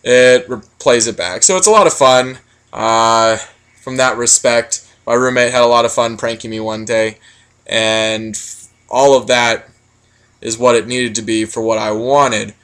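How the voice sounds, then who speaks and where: A man's voice: mid-range voice, fairly even intonation, measured pace, fairly bright tone, smooth. A man giving a casual product critique, explaining things in a rambling, matter-of-fact way. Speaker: product reviewer.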